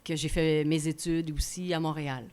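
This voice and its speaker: A woman with a Québécois French accent, a singer answering interview questions in a relaxed, friendly way. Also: deep voice, moderate pace, smooth. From Quebec. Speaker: guest singer. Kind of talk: interview conversation.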